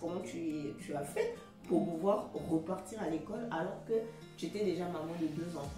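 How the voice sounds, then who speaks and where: A woman's voice: lowish voice, a little fast, reverberant, distant mic, music in the background. A woman hosting a casual interview, friendly and chatty, often laughing and repeating words as she searches for them. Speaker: host.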